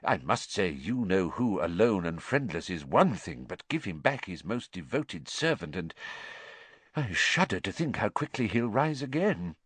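A man voicing a pompous, grave, fussy middle-aged government minister in a storybook, telling things in a measured way. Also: low voice, very animated, a bit bright, husky, no room sound, heavily acted. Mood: evasive, uneasy.

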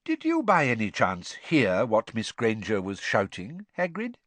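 A man voicing a wise, gently amused old male headmaster with dry wit, speaking in a measured way. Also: fairly low pitch, huge pitch swings, dry recording, exaggerated voice. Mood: wry, kindly.

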